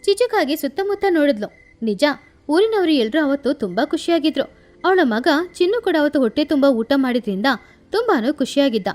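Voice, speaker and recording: High-pitched woman storyteller over music. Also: big pitch swings, normal speed, a little mellow. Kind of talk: scripted narration of children's moral story. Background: background music.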